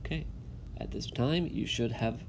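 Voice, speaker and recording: A man teaching in an instructional video, reading a text aloud and pausing to give clear directions and check understanding. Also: medium pitch, slight background noise.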